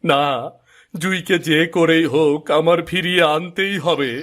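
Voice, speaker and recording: An actor voicing a bitter, self-pitying village farmer in a moral-story cartoon, dramatic and complaining. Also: male voice, fairly high pitch, fairly even intonation, a little slow, a bit bright. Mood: regretful, determined.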